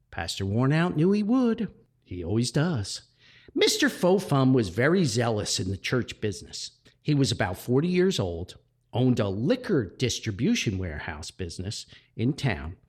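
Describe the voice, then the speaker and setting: A man reading a comic story aloud as a podcast host, with theatrical pauses and emphasis. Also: medium pitch, slightly rough.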